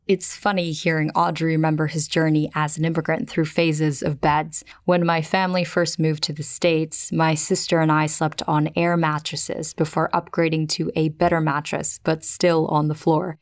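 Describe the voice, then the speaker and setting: A woman's voice: sits low, flat delivery, normal speed, few pauses. A woman from the Philippines narrating a podcast as host, reading scripted personal storytelling.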